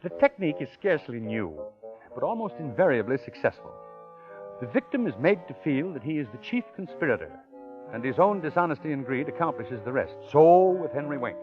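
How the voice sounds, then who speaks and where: Suave gentleman swindler, courtly and wordy, very animated delivery, vintage radio sound. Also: on the high side, a little fast. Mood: wry narrating. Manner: ornate, courtly, chuckling diction. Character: smooth, genteel male con man posing as professor. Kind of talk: old-time radio acting with first-person narration. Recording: muffled old radio recording, faint music.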